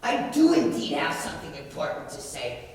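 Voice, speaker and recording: A young woman playing a pompous, chauvinistic male sheriff in a high school stage comedy, blustering and declaiming. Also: huge pitch swings, moderate pace, a little mellow. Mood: outraged. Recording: echoey hall, distant mic, noisy.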